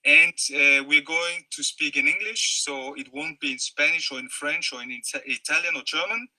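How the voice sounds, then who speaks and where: A man's voice: a little high, normal speed, pushed and bright, low-quality recording. A man hosting an online show who welcomes his guest formally, with some fillers, over a video call. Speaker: host.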